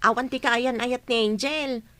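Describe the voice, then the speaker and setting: A woman's voice: fairly high pitch, steady tone, measured pace, no echo. A woman playing a kind older sister who reassures her younger sibling. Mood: gentle. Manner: gentle, reassuring. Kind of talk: radio drama acting.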